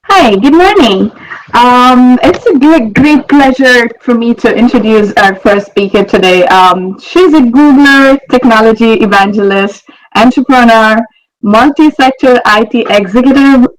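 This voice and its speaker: Event co-host, plain and friendly introduction of a speaker, mid-pitched voice. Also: a little slow, low-quality recording.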